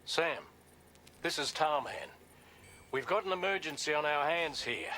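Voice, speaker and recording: A man's voice: on the high side, pushed and bright, slight background noise. A man acting a formal, businesslike ship captain giving a mission briefing in a video game.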